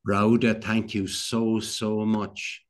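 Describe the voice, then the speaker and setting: Older male meeting host, unhurried and halting, lower-pitched voice, video call sound. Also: little pitch movement. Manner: halting, repeats phrases. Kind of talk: hosting an online club meeting.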